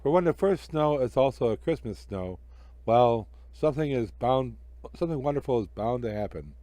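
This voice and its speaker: Male narrator for a children's tale: expressive, animated pitch, dry close sound. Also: moderate pace, no room sound. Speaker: storyteller.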